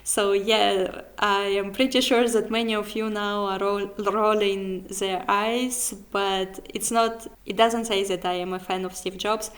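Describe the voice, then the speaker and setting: Young woman vlogger, mid pitch, just chatting casually and correcting herself. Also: steady tone, unhurried, few pauses. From Kazakhstan. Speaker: vlogger, software developer. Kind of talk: casual chat to viewers.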